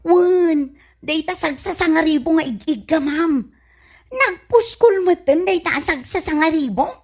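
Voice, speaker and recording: A woman's voice: extremely high pitch, animated, unhurried, exaggerated voice. A woman playing a nosy, skeptical moneylending shopkeeper auntie with an Ilocano Filipino accent in a comedy radio drama, incredulous and questioning.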